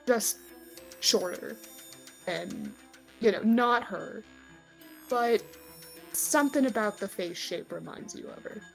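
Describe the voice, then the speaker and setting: A woman runs a tabletop game as game master at an unhurried, slow pace. Her voice sits at a middle pitch as she improvises descriptions, with faint music behind her. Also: vocal fry, rough recording.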